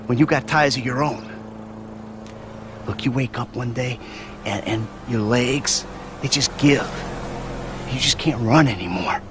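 An actor playing a weary, sarcastic middle-aged American career criminal in a cinematic video game. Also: male voice, mid-range voice, unhurried, pauses often, background noise, close to an everyday voice. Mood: weary, earnest.

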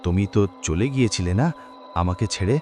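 A man's voice: sits low, fairly quick, a little mellow, a little hiss or hum, natural voice. A man playing an overwrought, pleading young man haunted by his dead lover in a melodramatic horror cartoon. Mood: angry.

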